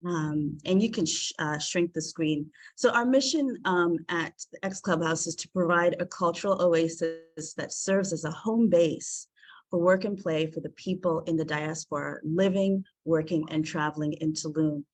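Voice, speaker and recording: A woman's voice: low-pitched, normal speed, no echo, rough recording. A woman from South Africa giving an earnest presentation over a video call, with frequent ums and uhs. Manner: warm, earnest, many filler ums. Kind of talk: presentation to an online group.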